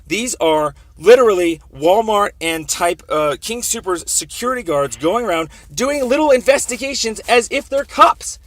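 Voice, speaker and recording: Man, slightly high voice, sharp and forceful, argumentative complainant, repetitive, insistent phone talk. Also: animated, normal speed, few pauses. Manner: insistent, repetitive, talking over the other person. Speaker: complainant calling police internal affairs.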